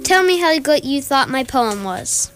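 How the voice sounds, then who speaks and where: Young woman playing a high-voiced, pompous alien captain. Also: sing-song, fairly bright tone, soft background music, heavily acted. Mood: menacing. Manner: pompous, deliberate, theatrical. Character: menacing male alien captain reciting dreadful poetry. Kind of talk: amateur radio theatre performance.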